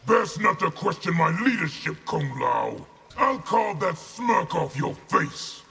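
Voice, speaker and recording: A brutal, boastful game warlord with a high, gravelly voice. He declaims with scorn and aggression, swinging widely in pitch. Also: very animated, a little slow, bright, forceful tone, soft background music, heavily acted. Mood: threatening. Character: boastful, brutal warlord general with a furious temper. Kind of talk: video game character acting.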